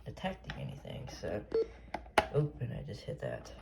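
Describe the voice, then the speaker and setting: A man's voice: lowish voice, wildly swooping pitch, moderate pace, creaky. A man giving a casual, unscripted show-and-tell of his collection, rambling offhand and trailing off. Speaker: collector showing off a weather radio collection. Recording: noisy amateur recording with radios.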